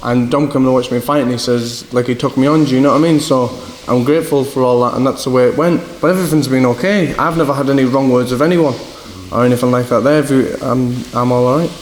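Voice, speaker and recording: Young boxer with a northeast English accent. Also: male voice, mid-range voice, steady tone, fast. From Scotland. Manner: rambling, repeats "do you know what I mean". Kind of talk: casual interview answers. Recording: echoey hall with faint background noise.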